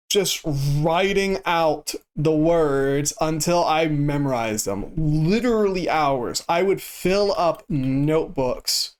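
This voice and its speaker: A man streaming a game who chats casually in a flat, stoic way and swears freely. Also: on the high side, clean tone. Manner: monotone and stoic delivery. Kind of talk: casual chat while gaming.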